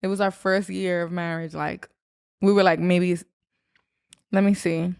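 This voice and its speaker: A woman's voice: fairly low pitch, level tone, a little slow, gravelly, darkish tone, clean tone, no echo, clean studio sound. A woman co-hosting a podcast in casual chat, supportive and chiming in often with short affirmations. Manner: supportive, frequent affirming interjections. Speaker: podcast co-host.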